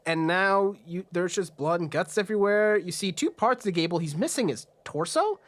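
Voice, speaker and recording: A man's voice: high voice, lively intonation, moderate pace, no echo. A man working as game master, improvising narration for a tabletop roleplaying game.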